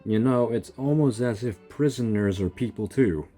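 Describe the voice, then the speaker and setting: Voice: mid-range voice, moderate pace, music in the background. A streamer giving casual, sarcastic commentary while reacting to a video game, talking back to the characters.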